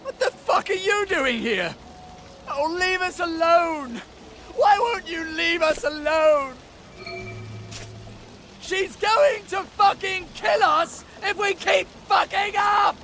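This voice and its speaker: An actor playing a frantic, self-pitying bumbling lab houseboy in a video game, agitated and overwrought, with a British accent. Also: male voice, very high-pitched, animated, slow, over music, heavily acted. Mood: frantic, exasperated. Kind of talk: video game character acting.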